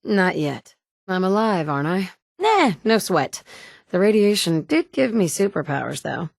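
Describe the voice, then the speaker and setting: A woman's voice: fairly low pitch, very animated, a little slow, creaky, dry recording, studio quality, exaggerated voice. An actress in her thirties playing a blunt, sardonic mother in a game, with clipped one-liners. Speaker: player character. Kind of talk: video game dialogue lines. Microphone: studio mic.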